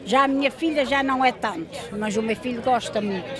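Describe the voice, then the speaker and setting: A woman's voice: on the high side, a little fast. An older woman from Portugal, a festival volunteer selling sweets, chatting in an interview and slipping in English words. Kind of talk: casual interview. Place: outdoor festival. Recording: outdoor crowd noise. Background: crowd chatter.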